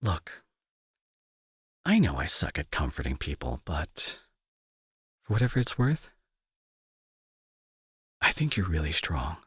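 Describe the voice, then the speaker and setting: Husky low-voiced remorseful young bully, acted by a man. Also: huge pitch swings, slow, long pauses, no echo, clean studio sound, exaggerated voice. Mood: tender, reassuring. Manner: soft, hesitant, earnest, reassuring. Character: remorseful young male bully turned tender, anxious caretaker. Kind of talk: intimate roleplay audio drama.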